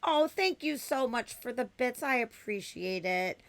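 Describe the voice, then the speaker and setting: A raspy-voiced woman from the US West Coast in her thirties, a podcast guest chatting casually and bluntly, swearing freely as she tells stories. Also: a little high, expressive, a little slow, fairly bright tone. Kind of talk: casual chat.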